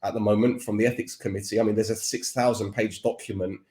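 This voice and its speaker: Cockney man, guest expert, rambling casual chat, lowish voice. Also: steady tone, quick pace, darkish tone, roomy sound. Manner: chatty, rambling with restarts.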